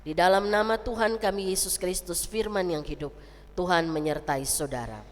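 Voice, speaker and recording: A woman's voice: on the low side, moderate pace, slight background noise. A woman pastor leading a church service, her voice nasal and congested from a cold, chatting easily with the congregation. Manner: chatty with the congregation, tags lines with "ya" and "gitu kan". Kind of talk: church service prayer and sermon. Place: church sanctuary. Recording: long church hall reverb.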